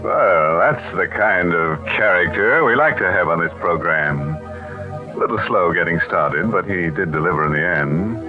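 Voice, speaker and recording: Deep, slow, macabre radio horror host. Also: male voice, expressive, over music. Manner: macabre, mock-genial, sardonic, punning. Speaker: host of a radio mystery show. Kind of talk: 1940s radio horror hosting, scripted. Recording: tinny old radio recording.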